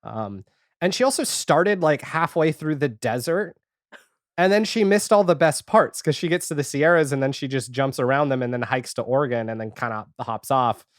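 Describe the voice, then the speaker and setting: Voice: medium pitch, normal speed, no room sound, clean studio sound. A podcast guest chatting casually and candidly about their own experiences, with wry, self-deprecating asides. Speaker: podcast guest, audio fiction creator. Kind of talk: casual interview chat.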